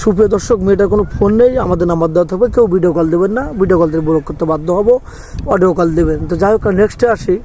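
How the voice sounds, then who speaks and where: High male voice, Bangladeshi accent, rapid speech, informal interview host, faint outdoor traffic noise. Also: warm tone.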